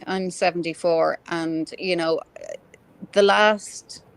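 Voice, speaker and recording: A woman's voice: on the low side, fairly even intonation, slow, creaky, clean tone. Impassioned woman in her fifties. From Ireland. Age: 50s. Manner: impassioned, earthy, swears freely. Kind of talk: casual political chat.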